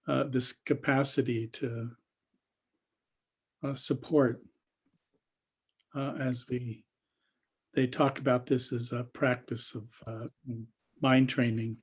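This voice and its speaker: A man with a husky voice leading an unscripted guided meditation as a teacher, speaking haltingly with long pauses and trailing phrases. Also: medium pitch, darkish tone.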